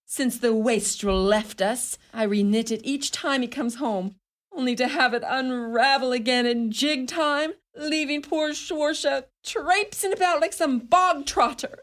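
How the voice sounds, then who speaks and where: An actress playing a scolding, sharp-tongued Irish mother in a radio play, theatrical and scornful. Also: sits high, a little slow. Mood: bitter, aggrieved. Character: sharp-tongued, indignant Irish mother, middle-aged or older.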